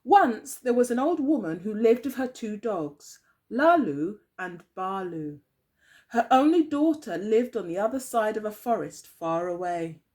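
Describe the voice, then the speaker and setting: A woman's voice: medium pitch, lively intonation, a little slow, dark tone. A woman teacher telling a story expressively to young children, phrasing carefully and putting questions to them. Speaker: early years teacher, storyteller.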